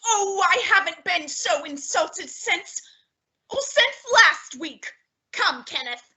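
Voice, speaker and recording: A woman's voice: very high voice, big pitch swings, slow pace, pushed and bright, heavily acted. A woman playing an indignant married battle-axe in an old-time radio comedy, scolding shrilly with exclamations and insults.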